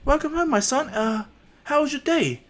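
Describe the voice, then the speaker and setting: A man's voice: extremely high pitch, lively intonation, moderate pace, pitched above the performer's own voice. A man voicing a sweet young mother in a fan story, polite, hesitant and anxiously fussing. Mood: welcoming.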